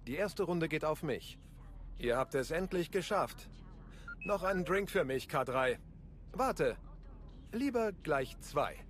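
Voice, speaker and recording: Breezy, roguish secret agent. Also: male voice, fairly high pitch, animated, slow, long pauses, a bit bright, slight background noise. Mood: breezy, charming.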